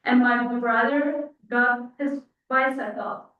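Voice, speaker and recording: A woman's voice: on the high side, fairly even intonation, slow. A young woman from Afghanistan with an Afghan accent, delivering a prepared personal speech. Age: young adult. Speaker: guest speaker telling her story. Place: large indoor church. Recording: thin phone-like sound, echoey hall, distant.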